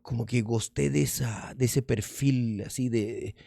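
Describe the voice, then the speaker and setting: A middle-aged man with a Spanish accent, originally from Argentina, telling stories casually as an interview guest. His voice is gravelly, with a rough, fry-laden texture. He rambles, restarts and slips in Spanish words, recorded dry in a studio. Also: medium pitch, steady tone, slow, dark tone, dry recording. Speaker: guest, magician and comedian.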